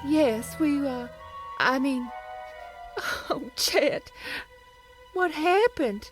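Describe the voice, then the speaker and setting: A woman's voice: high voice, lively intonation, drawn-out words, music in the background, exaggerated voice. An actress playing a guilt-ridden, wealthy married woman in an emotional audio drama. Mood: shocked, tearful.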